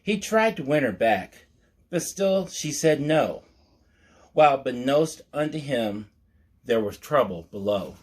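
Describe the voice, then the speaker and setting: Slow, animated man reading a storybook aloud. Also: medium pitch. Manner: measured, mock-earnest children's storyteller. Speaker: storytime host reading a picture book aloud. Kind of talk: storybook read-aloud with asides to the listener.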